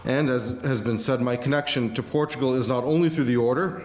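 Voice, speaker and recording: A man delivering a prepared academic conference lecture, measured, with occasional fillers. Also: mid-range voice. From Canada. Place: museum auditorium. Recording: echoey museum lecture hall.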